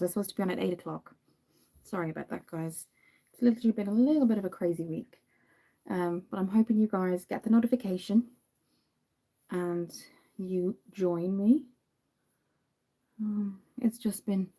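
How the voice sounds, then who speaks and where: Woman, Irish accent, Dublin, young adult, mellow warm tone, chatty, frequent ums, livestream host. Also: medium pitch, moderate pace, long pauses. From Ireland. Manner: chatty, apologetic, greets viewers by name, frequent ums. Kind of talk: casual live-stream chat while doing makeup.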